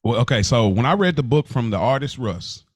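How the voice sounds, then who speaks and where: A man's voice: medium pitch, animated, a little fast, dry recording. Man chatting casually, close dry sound. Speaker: podcast co-host. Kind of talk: casual podcast conversation.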